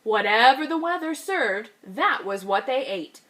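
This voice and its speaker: Lively woman reading a picture book to kids. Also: on the high side, a little slow. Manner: lively, expressive reading to young children. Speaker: kindergarten teacher. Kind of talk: read-aloud storytelling for children.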